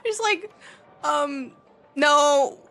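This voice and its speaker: Brief: young female streamer, bright high voice, slow and drawn out, game music faint behind. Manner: giggly, animated, slow and drawn-out. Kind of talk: game reaction commentary.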